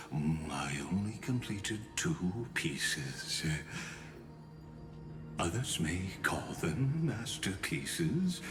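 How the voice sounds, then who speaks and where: An ancient machine sculptor with a very low, unhurried voice. He is weary, theatrical and coolly menacing, as in a dramatic video game cutscene, over prominent music. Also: heavily acted. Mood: wistful, grave. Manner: measured, theatrical, philosophical. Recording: music under the voice.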